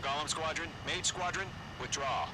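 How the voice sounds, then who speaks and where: An actor voicing an air controller in a video game, giving clipped callouts and orders to squadrons over the radio. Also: male voice, a little high, normal speed, background noise, lightly acted. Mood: grave. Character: brisk male air controller directing squadrons, steady, encouraging. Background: loud music, gunfire, aircraft.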